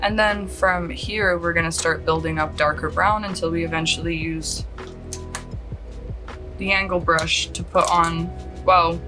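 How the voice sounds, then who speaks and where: A woman's voice: on the low side, steady tone, measured pace, over music. A woman in her late thirties from Australia whose mostly Californian accent lets some Australian slip through. She chats her way through a casual makeup tutorial, rambling and self-deprecating, and laughs often. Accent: mostly Californian with some Australian coming through. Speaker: beauty vlogger. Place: at home, lit by a close lamp.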